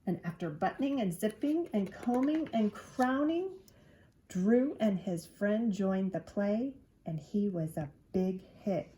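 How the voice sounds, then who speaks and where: A woman's voice: mid-range voice, animated, slow, mellow, a little hiss or hum, poor audio. A woman reading a children's storybook aloud expressively, drawing out key words to engage young listeners. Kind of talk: expressive read-aloud narration.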